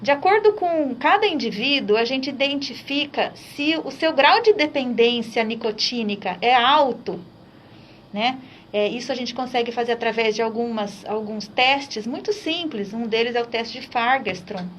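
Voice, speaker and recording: A woman's voice: on the high side, lively intonation, normal speed, muffled recording, slight background noise. Hesitant Brazilian woman doctor explaining. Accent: Brazilian Portuguese. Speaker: doctor guest. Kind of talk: radio health interview.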